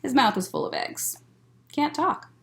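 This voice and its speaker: A woman reads a picture book aloud as a story time host. Her voice sits fairly high and swings widely in pitch. She goes slowly and deliberately, expressively shaping each phrase for small children. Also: expressive, slight background noise. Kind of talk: children's read-aloud storytelling with short asides.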